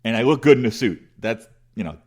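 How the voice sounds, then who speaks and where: A man's voice: medium pitch, expressive, fast talker, darkish tone, slightly rough. A middle-aged man with a big voice, telling rambling, self-deprecating stories as an interview guest with many restarts. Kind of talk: casual conversational storytelling.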